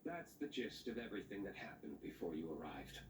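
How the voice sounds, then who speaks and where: An actor playing a composed, shrewd gentleman monster hunter in a video game, with cool, formal, probing delivery. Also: low-pitched, sing-song, fairly quick. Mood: matter-of-fact. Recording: roomy distant game audio with noise.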